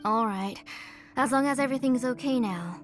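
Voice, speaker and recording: A woman voicing a gentle, caring mother who cooks for her guests, with game music behind. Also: fairly high pitch, moderate pace, lightly acted. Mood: welcoming. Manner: soft and welcoming.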